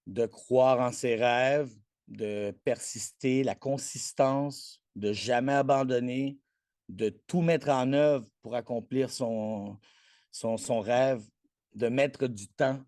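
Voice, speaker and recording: A man's voice: mid-range voice, steady tone, slow, darkish tone, no echo, clean studio sound. A man in his forties with a Québécois French accent, Spanish his first language, chatting as an interview guest, boastful and full of restarts. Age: 40s. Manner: boastful and self-promoting, many restarts. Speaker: guest, athletic trainer. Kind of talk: casual interview chat.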